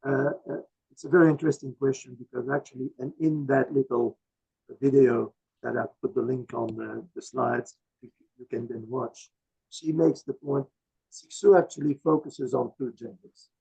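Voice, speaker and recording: Croaky older man on a dull call. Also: medium pitch, fairly even intonation, moderate pace, mellow. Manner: thoughtful, hesitant with many fillers. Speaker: guest speaker. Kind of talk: answering audience questions. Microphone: video call. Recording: dull lo-fi video call audio.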